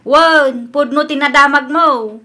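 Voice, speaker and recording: An actress plays a blunt Ilocano friend in a radio drama. She has a high voice and speaks plainly to the point. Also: takes it slowly, bright, forceful tone, heavily acted. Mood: wary. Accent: Filipino, Ilocano.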